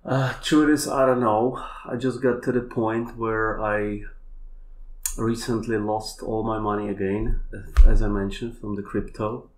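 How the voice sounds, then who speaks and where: A man with a Polish accent, a native Polish speaker, talking in a halting, searching way as a podcast guest. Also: fairly low pitch, level tone, poor audio. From Poland. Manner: halting, searching for words, many fillers. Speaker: kambo practitioner guest. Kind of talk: casual conversation.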